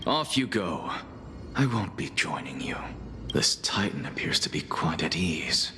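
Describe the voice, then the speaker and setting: An actor playing a proud, aloof scholar in a video game, lecturing formally with cool, measured disdain. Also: male voice, very deep voice, big pitch swings, bright, forceful tone, slight background noise, heavily acted. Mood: cool, dismissive.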